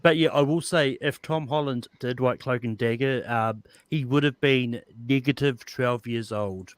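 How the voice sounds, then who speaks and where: A man's voice: medium pitch, unhurried, clean tone, no echo. A man talking casually as a panelist with a dry, understated manner. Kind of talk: casual chat.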